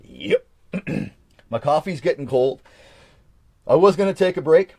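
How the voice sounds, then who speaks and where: A man's voice: on the high side, lively intonation, a little slow, a little mellow. A man in his forties chatting casually on a live stream, reading from an old journal and rambling with frequent restarts. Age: 40s.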